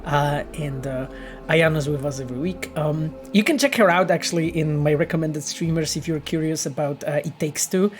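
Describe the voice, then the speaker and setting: Male streamer and game dev, casual Q&A, earnest and halting, faint music under him. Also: fairly high pitch. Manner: earnest, halting explanations with many restarts. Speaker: game developer streaming and answering chat. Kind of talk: casual livestream Q&A.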